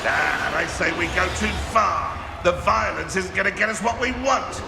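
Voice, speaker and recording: High, gravelly male poacher villain. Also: normal speed, heavy background noise. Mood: scornful. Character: aggressive male poacher, taunting rough villain. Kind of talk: video game villain dialogue. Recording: loud game music behind.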